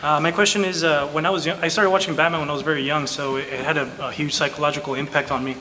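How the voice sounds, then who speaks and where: Higher-voiced man, echoey noisy hall. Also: steady tone, racing. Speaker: audience member asking a question. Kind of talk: casual, rambling audience question. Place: large convention hall. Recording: echoey hall, clear noise.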